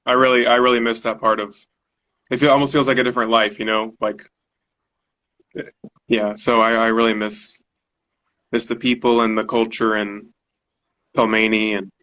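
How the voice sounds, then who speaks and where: A man, a guest in a casual online chat, relaxed and rambling with many fillers. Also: lowish voice, normal speed, long pauses. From United States. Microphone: video call.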